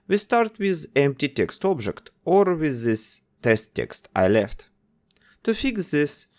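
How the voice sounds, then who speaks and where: A man narrating a coding tutorial, calmly giving instructions one step at a time. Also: medium pitch. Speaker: game development tutor.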